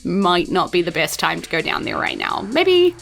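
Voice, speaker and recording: A woman plays a New Zealand nurse in a tabletop game, bright-voiced and a little evasive. She explains haltingly and keeps things reassuring, over faint music. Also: on the low side, sing-song, gravelly, voice pushed down, heavily acted. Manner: hesitant, explanatory, placating.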